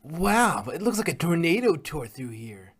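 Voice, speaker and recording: A man's voice: fairly high pitch, big pitch swings, normal speed, noisy background, pitched above the performer's own voice. A man voicing cheeky, defensive young pony fillies in a fan fiction reading. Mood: amazed.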